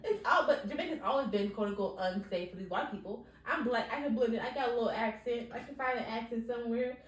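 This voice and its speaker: A young woman chatting casually as a podcast co-host, animated and laughing often as she acts out quotes. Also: fairly high pitch, fast talker, recorded from a distance. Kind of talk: casual chat. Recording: roomy, from off-mic.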